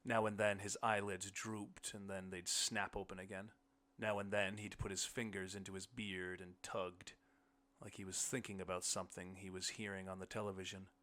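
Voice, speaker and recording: Male narrator, lowish pitch, very sharp bright tone, scripted first-person storytelling, audiobook reading. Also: level tone, normal speed.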